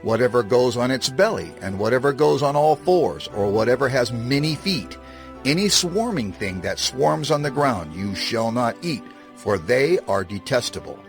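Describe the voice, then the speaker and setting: A man narrates scripture with lively, swinging intonation over clear background music. His delivery is solemn and measured, in a middle-pitched voice. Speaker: scripture reader.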